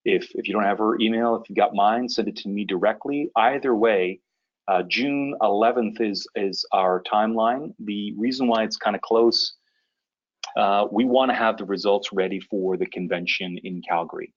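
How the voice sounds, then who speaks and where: A man hosting a business conference call, giving an informal briefing in a conversational, somewhat halting way. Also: fairly low pitch, lo-fi sound. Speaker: conference call host, association leader. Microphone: conference call connection.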